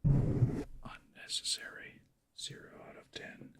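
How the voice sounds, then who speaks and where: A man whispering softly in a casual, unhurried ASMR chat with viewers, close to the microphone. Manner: soft whispering and murmuring, unhurried. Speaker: ASMR live streamer.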